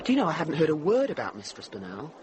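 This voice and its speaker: An actor playing a young novice monk in a radio drama, chatty, curious and openly gossipy. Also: male voice, fairly high pitch, wildly swooping pitch, very fast, background noise. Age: young adult. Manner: gossipy, ingenuous.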